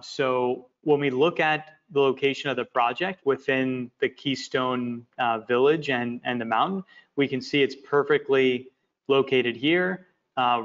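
A man in a middle register presents an investment project over slides. He talks in a halting, filler-heavy way and often repeats words. The audio is slightly muffled and lo-fi. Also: medium pitch, a bit bright. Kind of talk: walking through presentation slides.

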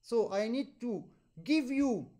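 A man with a French accent, a native French speaker, teaching an accordion master class over a video call, animated and pausing deliberately between phrases. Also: very high voice. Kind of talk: online master class instruction.

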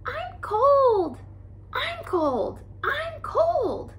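A woman voicing a tiny mother lemming and her pups in a picture book, squealing high and then whispering. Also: wildly swooping pitch, very slow, heavily acted. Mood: crying cold.

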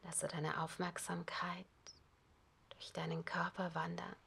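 Slow whispering woman guiding relaxation. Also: fairly low pitch, gravelly, breathy edge. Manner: soft whispered, slow and calm. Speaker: yoga nidra teacher. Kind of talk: guided relaxation. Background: ocean waves.

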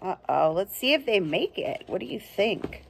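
A young adult woman reading a picture book aloud to children outdoors, with expressive, chatty asides and simple questions for young listeners. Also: mid-range voice, moderate pace, vocal fry, slight background noise. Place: outdoors under a shelter among pine trees.

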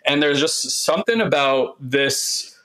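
A man with a monotone, bassy voice that still sounds bright and forward. He rambles enthusiastically in casual podcast talk and drops the odd swear word. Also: a little slow, low-quality recording. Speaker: podcast co-host. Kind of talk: casual conversation.

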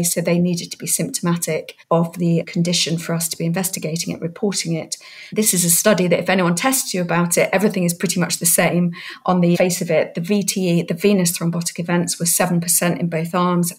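A woman's voice: on the low side, breathy edge. A woman, a medical researcher, explaining study results as an interview guest.

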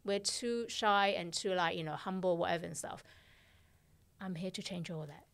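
A woman's voice: fairly low pitch, normal speed, creaky, clean tone. A middle-aged woman, a fashion director and podcast guest, telling stories bluntly and animatedly, swearing freely and acting out the dialogue, on a studio mic in a small podcast studio. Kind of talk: casual interview storytelling. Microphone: studio mic on arm, headphones.

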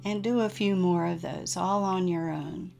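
A woman leads a guided relaxation with very slow, spacious phrasing. Her voice sits a little low and has a noticeable creak. She gives each gentle, soothing instruction room to settle before moving on. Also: soft background music. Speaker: yoga nidra meditation guide.

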